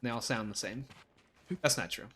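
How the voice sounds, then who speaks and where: A man's voice: mid-range voice, a little fast, bright, forceful tone, a little hiss or hum. A young man, chatting casually while playing a video game, hesitant and full of restarts. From Ohio, USA. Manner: rambling, hesitant, many fillers and restarts. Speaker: co-host. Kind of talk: casual gameplay chat.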